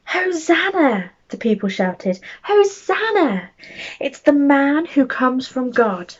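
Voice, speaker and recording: A woman telling a bedtime story to young children, speaking gently and expressively as she reads aloud and chats to them. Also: sits high, very animated, slow pace, dull audio.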